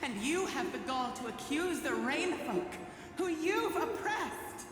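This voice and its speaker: A woman's voice: high-pitched, lively intonation, slow. A woman voicing a proud, bitter leader of an oppressed people in formal, declamatory game dialogue. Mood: indignant, defiant. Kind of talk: solemn theatrical game dialogue. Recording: cavernous reverb, music underneath.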